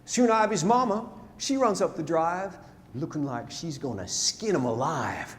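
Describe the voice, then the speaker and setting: A man recites rhyming narrative verse on stage as a storyteller, dramatic and deliberate, swelling and then hushing his phrases. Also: fairly high pitch, lively intonation. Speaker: storyteller performing a narrative poem. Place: large theatre, live stage performance. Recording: live theatre stage, long reverb, noisy.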